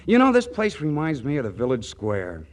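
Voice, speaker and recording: A man's voice: fairly high pitch, wildly swooping pitch, brisk. An actor playing a naive, bashful small-town young man with a hesitant stammer in a 1940s radio comedy. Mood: folksy, amused. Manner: stammering, hesitant, with goofy earnestness. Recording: noisy 1940s radio broadcast recording.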